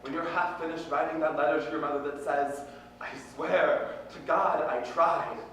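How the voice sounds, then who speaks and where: A man performing spoken-word poetry with impassioned, deliberate, earnest phrasing. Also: a little high, fairly even intonation, a bit bright. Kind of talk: slam poetry recitation, dramatic and earnest. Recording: very echoey room, distant mic, faint noise.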